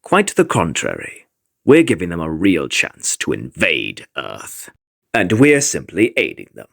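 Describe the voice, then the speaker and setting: A man's voice: low-pitched, huge pitch swings, measured pace, creaky, a bit bright, no echo, studio quality, full character voice. A man playing a scheming, gloating otherworldly villain lord in a comic fandub, theatrical and condescending. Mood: scheming, sinister.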